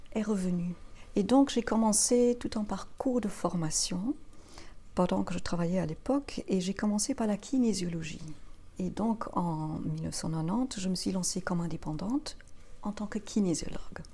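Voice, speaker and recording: A Belgian woman in her fifties whose first language is German, speaking French with a warm, mellow tone. She lays out her professional path step by step in a calm, measured way, sitting as the guest in an interview. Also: medium pitch. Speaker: guest, family constellation practitioner. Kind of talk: interview answers.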